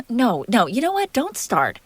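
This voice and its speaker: A woman playing a jealous, hurt young woman confronting her crush in an intimate audio roleplay, halting, sarcastic and emotionally raw. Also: fairly high pitch, wildly swooping pitch, no echo, heavily acted. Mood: bitter, accusing.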